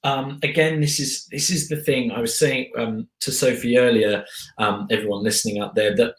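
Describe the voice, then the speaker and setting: A man's voice: medium pitch, steady tone, moderate pace, fairly bright tone. A man hosting a casual Q&A, reading out audience questions and chiming in with upbeat affirmations. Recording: echoey room.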